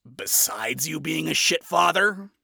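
A brash, scornful man as a male narrator acts him out in a story reading. His voice is raised and forceful with some gravel in it, and he speaks in a blunt, biting, sarcastic way, swearing freely. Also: a little high, animated, measured pace, no room sound, studio quality, pitched above the performer's own voice, exaggerated voice. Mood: scornful, exasperated. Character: brash, scornful, foul-mouthed man ranting at his enemy.

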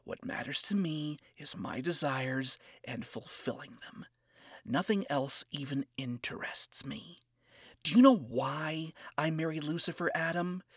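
A man voicing a cold, self-absorbed seductive woman who speaks in a hushed, condescending way. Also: medium pitch, animated, no room sound, studio quality. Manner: hushed, breathy, condescending, slow. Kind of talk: reading fan fiction aloud.

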